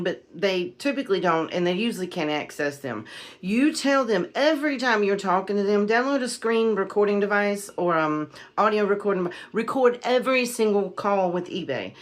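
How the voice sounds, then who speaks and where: A woman's voice: mid-range voice, fairly bright tone. A woman from the United States giving casual vlog-style advice and storytelling as an online reseller, plain-spoken, emphatic and aggrieved. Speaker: online reseller and video creator.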